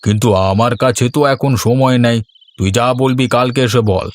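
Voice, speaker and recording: A man's voice: medium pitch, fairly quick, natural voice. A man voicing a stern, unbending village man in a cartoon, firm and scolding. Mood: stern, dismissive. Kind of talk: Bengali cartoon voice acting. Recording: prominent music behind the voice.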